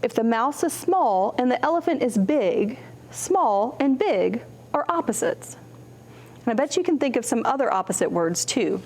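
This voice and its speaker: A woman hosting a children's storytime, reading and explaining in simple, deliberate phrases for young children. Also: a little high, big pitch swings, a little mellow, slight background noise.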